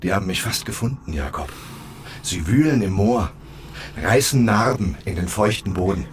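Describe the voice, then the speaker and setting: Male actor as eerie accuser, low pitch, measured and menacing audio drama delivery over slight background noise. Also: expressive, close to an everyday voice. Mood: brooding. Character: eerie, accusing forgotten man haunting his double. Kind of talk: German audio drama acting.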